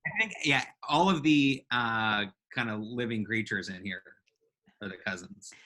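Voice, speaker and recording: A woman co-hosting a casual comedy podcast in a brisk, playful, jokey way. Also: rumbling low, fairly bright tone. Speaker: podcast co-host. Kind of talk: casual comedic chat among friends. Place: recorded remotely over a video call.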